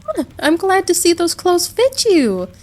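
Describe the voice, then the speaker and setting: A woman playing a caring, motherly American wife in a roleplay audio drama, gentle and reassuring, full of endearments. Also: extremely high pitch, huge pitch swings, a little slow, heavily acted. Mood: welcoming, concerned.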